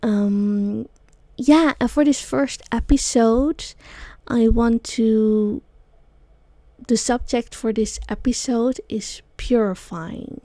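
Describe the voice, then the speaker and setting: A Dutch woman with a Dutch accent, a non-native English speaker hosting her own solo podcast, speaking in slow, searching phrases with frequent fillers. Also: a little high, warm tone, no room sound. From Netherlands. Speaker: podcast host, sound bath guide. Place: small studio.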